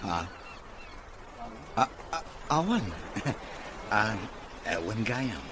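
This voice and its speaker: A man's voice: on the low side, very animated, drawn-out words, noisy background, heavily acted. A man playing a bashful, hesitant bachelor son in an audio drama. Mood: bashful, pleased. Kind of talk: Ilocano audio drama acting.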